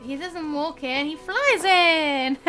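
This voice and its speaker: A woman's voice: high-pitched, extremely slow, pushed and bright, soft background music. A giggly, chatty woman giving casual live commentary while streaming a video game. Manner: giggly, chatty, reacts to chat.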